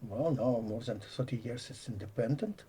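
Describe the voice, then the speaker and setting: A man's voice: medium pitch, moderate pace, mellow, slight background noise. An older man from Latvia, a professor with a Latvian accent, explaining ideas in a thinking-aloud way and often dropping articles. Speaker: guest, computer science professor. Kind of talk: explanatory conversation.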